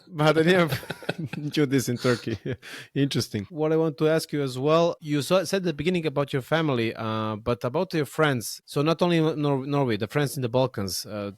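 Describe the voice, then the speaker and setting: A man's voice: a little high, dry recording, clean studio sound. Chatty man who laughs a lot. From Serbia. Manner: laughs often, halting with restarts and fillers. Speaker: podcast host. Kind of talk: casual conversation.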